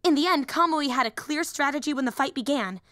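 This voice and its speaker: Woman acting as high voiced nerdy anime hero girl, shy n earnest, rambles eagerly, super dry close sound. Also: a little fast, a bit bright, no echo. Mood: earnest, rambling. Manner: eager, rambling, stammering when flustered. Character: nerdy, excitable, shy female hero-fan teacher, earnest and easily flustered. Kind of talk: fan audio roleplay, rambling fight analysis.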